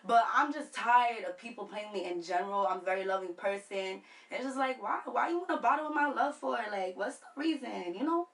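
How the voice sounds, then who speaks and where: A woman's voice: a little high, lively intonation, fairly quick, hardly any pauses, a bit bright, recorded from a distance. New York woman, slightly off the mic. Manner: informal, candid, conversational. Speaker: singer-songwriter guest. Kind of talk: casual radio interview.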